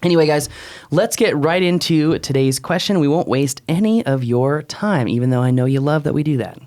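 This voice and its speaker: A man's voice: fairly high pitch, normal speed, darkish tone. A man in his thirties talking casually and bluntly as a podcast co-host, close on a studio mic. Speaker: podcast co-host and drone expert. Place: small wood-panelled podcast room. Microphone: close studio mic on boom arm.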